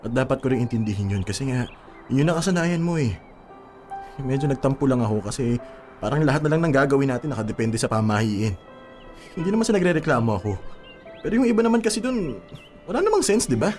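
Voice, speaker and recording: A man's voice: medium pitch, animated, fast, long pauses, a little mellow, natural voice. A man acting an earnest, conciliatory young boyfriend with a Filipino accent in a soothing radio drama performance. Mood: soothing, coaxing. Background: music behind the voice.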